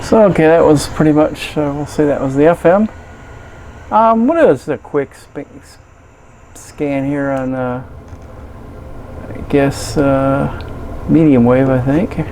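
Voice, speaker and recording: A man reviewing a gadget in a casual, unscripted way, with a hesitant, halting and rambling manner. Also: on the high side, noisy background. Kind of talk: casual unscripted product demonstration.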